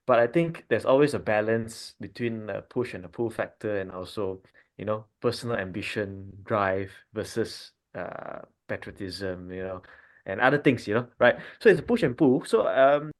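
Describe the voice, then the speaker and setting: A man from Malaysia, a doctor in his thirties, talking in a relaxed family podcast chat, thinking aloud with frequent fillers and restarts. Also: fairly low pitch, moderate pace. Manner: thoughtful, many fillers and restarts.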